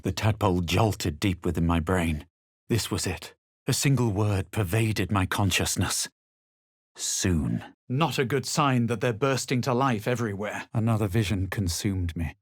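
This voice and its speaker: Proud snooty adventurer from a fantasy game, low n a bit raspy, dramatic and measured. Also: male voice, lively intonation, dry recording, studio quality. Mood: wary, superior. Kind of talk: fantasy video game voice lines, narration and incantations.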